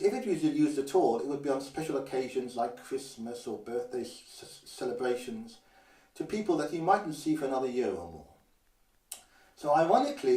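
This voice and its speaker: An older man from Guernsey teaches greetings patiently, pausing to say each phrase slowly and clearly. His voice is mid-pitched and sits back from the mic. A roomy echo surrounds him. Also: warm tone, distant mic. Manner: measured, careful, slows down to model phrases. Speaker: language teacher. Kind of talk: instructional explanation.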